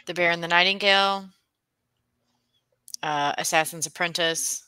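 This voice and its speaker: A woman with a bright, sharp voice chatting playfully with a friend on a reading stream. She thinks aloud, trailing off with little fillers as she goes. The sound is dry and close. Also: lowish voice, fairly even intonation, measured pace, smooth, no echo. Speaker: guest on a reading livestream. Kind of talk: casual chat between friends.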